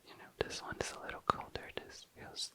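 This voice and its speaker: An ASMR host whispering in a breathy, unvoiced voice, musing casually and tentatively, close to the mic. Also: brisk, sharp tone. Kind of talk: whispered ASMR, casual makeup swatching narration.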